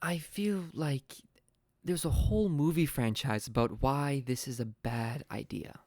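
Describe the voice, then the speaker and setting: A man's voice: mid-range voice, measured pace, a little mellow, dry recording, close to an everyday voice. Man acting a hesitant, skeptical adviser, dry sound. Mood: wary, skeptical. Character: wary, hesitant government science adviser, adult man. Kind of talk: naturalistic meeting dialogue.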